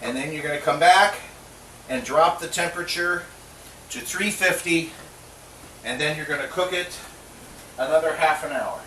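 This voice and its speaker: A man's voice: fairly high pitch, moderate pace, pauses often, bright, forceful tone. A middle-aged man hosting a casual home cooking show, chatty and joking to himself as he works. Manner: jokey, chatty, talks to himself while cooking. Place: home kitchen. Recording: roomy kitchen sound from a distant mic, clear background noise.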